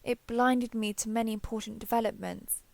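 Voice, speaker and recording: A woman playing an ancient, proud female dragon in human form in an audio roleplay, speaking in formal, measured, archaic phrasing. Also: on the high side, steady tone, creaky, darkish tone, dry recording. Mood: thoughtful, gracious. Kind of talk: scripted audio roleplay acting.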